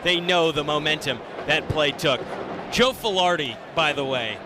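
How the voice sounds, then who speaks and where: American male sports analyst, high-pitched, emphatic and opinionated, over clear stadium noise. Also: normal speed. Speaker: color commentator. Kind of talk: live radio sports analysis. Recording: noisy live stadium broadcast.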